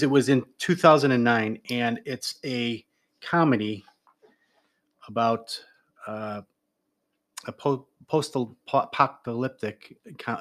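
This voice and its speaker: A man on a casual chat show explaining a topic in a halting way. He pauses and restarts often and fills the gaps with ums and uhs. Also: mid-range voice, fairly bright tone.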